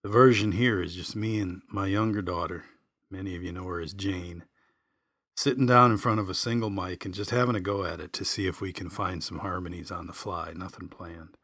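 A man's voice: low voice, fairly even intonation, brisk, fairly bright tone, no room sound, studio quality. A middle-aged man telling a personal story in a reflective, folksy, plain-spoken way. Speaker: podcast host and singer-songwriter. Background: acoustic guitar music.

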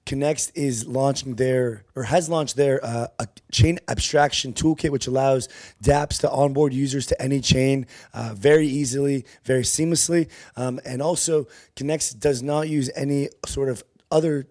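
A man's voice: medium pitch, steady tone, normal speed, darkish tone. A man hosting an interview in a loose, rambling way, with casual slang and frequent restarts. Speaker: host. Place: conference venue.

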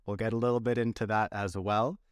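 A man hosting an interview podcast, talking in a friendly, relaxed way and reading a short scripted intro. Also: lowish voice, brisk, smooth, no room sound. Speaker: podcast host. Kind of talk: casual interview.

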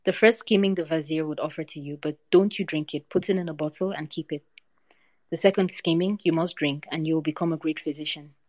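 A proud male snake king, wise and fatalistic, speaks his warnings slowly and solemnly, read aloud by a woman. The voice sits low for a woman. It is recorded close and dry. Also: steady tone, a little mellow, no echo. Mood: grave.

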